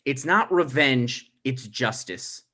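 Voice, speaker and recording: Male actor as self-righteous mayoral candidate son; lively swinging intonation, mid pitch, comedy table read. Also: slow pace, full character voice. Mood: defiant.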